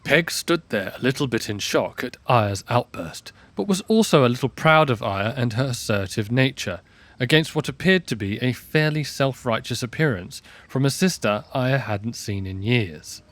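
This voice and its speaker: A man's voice: mid-range voice, lively intonation, normal speed, a bit bright, no echo. Man, mid-range voice, audio drama narrator, scripted storybook delivery.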